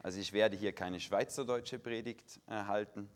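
A young man from Saxony giving a sermon in a full church hall in standard German with a faint Saxon tinge, slow and measured, stressing single words. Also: on the low side, fairly even intonation, a little hiss or hum. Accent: standard German with a slight Saxon tinge. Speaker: guest preacher.

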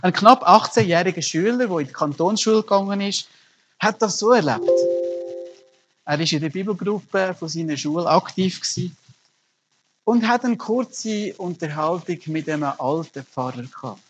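A man's voice: high voice, animated, moderate pace, slightly rough, rough recording. A middle-aged man, preaching a sermon as a pastor and telling stories earnestly with emphatic rises. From Germany.

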